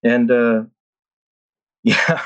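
A man's voice: medium pitch, extremely slow, a bit bright. A man in his thirties from the Philippines, telling stories in a casual chat with frequent restarts. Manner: rambling storyteller with frequent restarts and laughs. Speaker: podcast guest.

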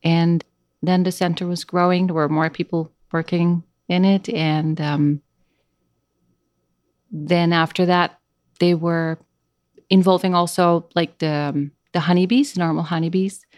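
Dutch lady in her 40s or 50s chatting, lowish voice, lots of ums, dry close mic. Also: level tone, a little slow, a little mellow, dry recording. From Netherlands. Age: middle-aged. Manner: hesitant, searching for words with many fillers. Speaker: guest. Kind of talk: casual interview chat.

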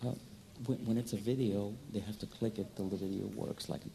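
A man's voice: fairly low pitch, fairly even intonation, fairly quick, creaky, mellow, slight background noise. A man from Egypt, a native Arabic speaker with an Egyptian accent, giving an earnest, storytelling seminar talk into a microphone in a hall. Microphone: handheld or stand microphone.

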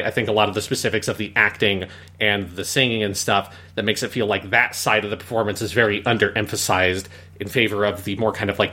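Male podcast host, lowish pitch, crisp bright tone, casual and analytical critic. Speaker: podcast host reviewing media. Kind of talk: casual review discussion.

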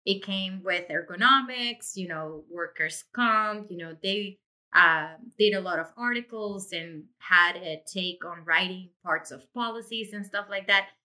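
A woman's voice: mid-range voice, pushed and bright. A middle-aged woman, talking expressively and effusively as an interview guest about her own experience. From Puerto Rico. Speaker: interview guest, insurance agency owner. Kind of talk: conversational interview, personal career story.

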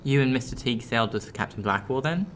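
An actor playing an earnest, curious young sailor in a period radio drama, polite and hesitant. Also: male voice, medium pitch, animated, fast talker, fairly bright tone, a little hiss or hum, close to an everyday voice.

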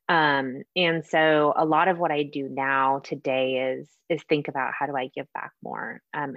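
American female podcast guest, low voice, candid personal storytelling, dull lo-fi audio. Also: gravelly. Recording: echoey room, dull lo-fi sound.

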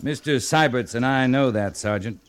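An actor playing a weary, authoritative cavalry captain in a 1950s radio western, with a clipped, commanding delivery. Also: male voice, medium pitch, normal speed, clear, resonant tone, natural voice. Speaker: radio drama lead actor. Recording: noisy old radio recording.

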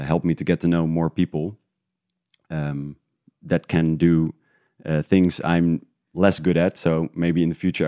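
A man from the Netherlands, talking casually in an interview, halting as he thinks aloud. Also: extremely low pitch, dark tone, clear, resonant tone, dry recording. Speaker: guest, independent podcast creator.

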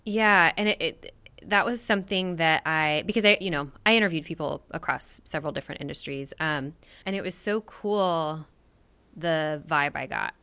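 A woman's voice: sits low, moderate pace, bright, forceful tone, clean tone, dry recording. A woman hosting a podcast, chatty and enthusiastic, interviewing a guest and closing the show. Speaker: podcast host. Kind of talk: casual interview and show outro.

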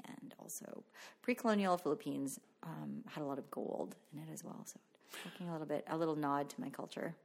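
A woman's voice: low voice, gravelly, a little mellow. A woman talking casually and reflectively about her own work as an interview guest. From Canada. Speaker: interview guest, visual artist.

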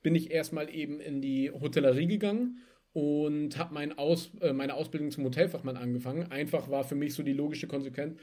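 A lively young man from Germany talks about his background as a guest in an interview, in a casual, chatty way. His voice sits a little higher than most men's, and he laughs now and then while he tells his stories. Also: brisk. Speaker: guest, spirits entrepreneur.

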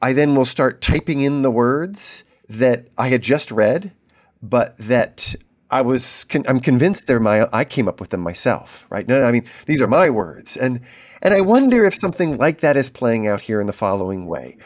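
A man's voice: mid-range voice, sing-song, moderate pace. Man posing long probing questions. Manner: probing, builds long hypotheses out loud. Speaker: interviewer host. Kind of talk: interview questions.

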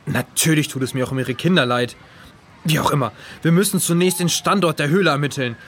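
Male actor: defensive, pedantic lifeguard for children's radio play, slightly high voice, faint ambient noise. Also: fairly quick, a bit bright. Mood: defensive, officious. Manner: officious, pedantic, flustered. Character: fussy, officious male pool lifeguard, defensive. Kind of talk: children's audio drama.

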